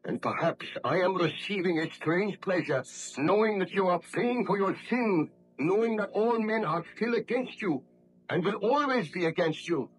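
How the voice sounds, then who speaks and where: An actor playing a tormented, guilt-ridden baron scientist, speaking in a formal, anguished, declamatory way in a 1930s radio horror play. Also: male voice, high-pitched, animated, moderate pace, breathy edge, soft background music. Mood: grim, resolute. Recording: noisy old 1930s radio recording.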